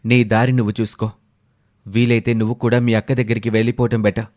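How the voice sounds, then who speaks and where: A man's voice: lowish voice, little pitch movement, fast, background noise, close to an everyday voice. A man voicing a selfish young husband in a story, exasperated and self-pitying. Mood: cold, resigned. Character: selfish young husband shirking his responsibilities.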